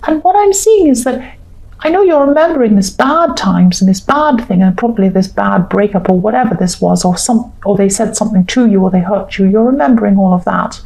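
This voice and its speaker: A woman giving a rambling, reassuring tarot reading with a slightly croaky voice. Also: mid-range voice, animated, fairly quick, poor audio. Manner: gentle, reassuring, rambling with self-corrections. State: croaky, clearing throat. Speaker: tarot reader. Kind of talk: casual tarot reading monologue.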